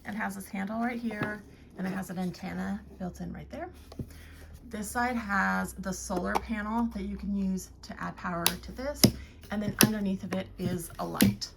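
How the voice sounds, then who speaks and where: A woman's voice: medium pitch, fairly even intonation, moderate pace. A woman giving a casual, plain-spoken product review, explaining features as she shows them. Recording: clear background noise, handling clicks.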